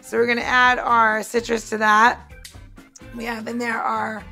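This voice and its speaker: A woman's voice: on the high side, level tone, a little slow, creaky, bright, forceful tone, soft background music. A woman co-hosting a podcast as bartender, casually talking listeners through a cocktail demonstration in a chatty way. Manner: chatty, talks the listener through each step.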